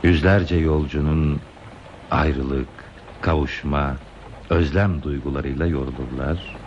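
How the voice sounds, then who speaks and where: Derin sesli, dalgın, yalnız tren tutkunu adam. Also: male voice, a bass voice, steady tone, darkish tone. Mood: musing, wistful. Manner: musing, poetic, slow pauses in monologue. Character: lonely, talkative man haunting a trackside, dreamily devoted to trains. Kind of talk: radio theatre acting. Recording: dull, lo-fi radio play tape, hissy.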